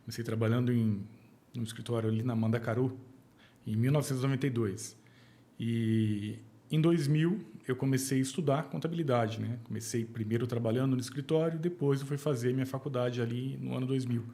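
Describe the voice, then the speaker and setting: A Brazilian man in his forties, an accountant talking as a podcast guest, calm and plain, hesitating and restarting now and then. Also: medium pitch, fairly quick. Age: 40s. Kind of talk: casual interview chat.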